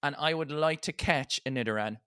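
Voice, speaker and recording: An Irish man co-hosting a podcast, chatting casually in an animated, sweary, teasing way. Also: on the high side, brisk, clear, resonant tone, no echo, clean studio sound. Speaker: podcast co-host. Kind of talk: casual bantering chat.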